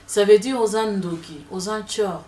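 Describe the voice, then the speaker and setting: Lively woman, echoey room. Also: medium pitch, moderate pace, distant mic, a little hiss or hum. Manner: lively, mixes Lingala into French. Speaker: guest. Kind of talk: opinionated discussion. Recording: echoey room, speaker off mic.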